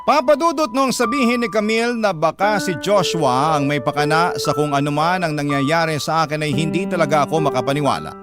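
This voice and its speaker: Man, letter reader, fairly high voice, earnest measured narration, loud music bed behind. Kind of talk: dramatic reading of a listener's letter. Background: loud background music.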